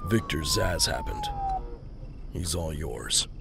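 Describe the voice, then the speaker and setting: An actor playing a grim, brooding masked vigilante in an audio drama, terse and stern, with a gravelly voice. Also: male voice, wildly swooping pitch, slow pace, heavy background noise, heavily acted. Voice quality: deep, gravelly. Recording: loud music and sirens behind.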